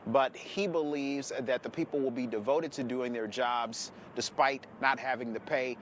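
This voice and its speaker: A man's voice: mid-range voice, steady tone, normal speed. A man with an American accent delivering a TV news report from outside near an airport. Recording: outdoor traffic and ambient noise.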